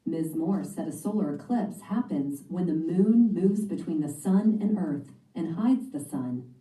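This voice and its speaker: Eager curious storybook schoolgirl, read by a woman, mellow lowish voice, roomy distant sound. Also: animated, a little slow.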